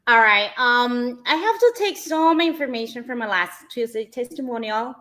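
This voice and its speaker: Eager Peruvian woman guest, higher voice. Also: expressive, normal speed, pushed and bright. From Peru. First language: Spanish. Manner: friendly and eager, many fillers. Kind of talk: telling her own story on a live stream.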